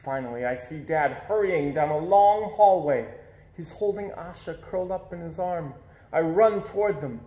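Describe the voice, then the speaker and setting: A man with a slightly high voice reads a picture book aloud. He narrates expressively for children, and the room gives his voice a clear echo. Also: expressive, moderate pace, mellow.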